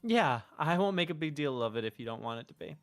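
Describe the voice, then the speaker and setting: A streamer reading the lines of a male high-school student, earnest and a bit flustered. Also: expressive, fast talker, soft background music, natural voice. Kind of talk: reading a visual novel aloud.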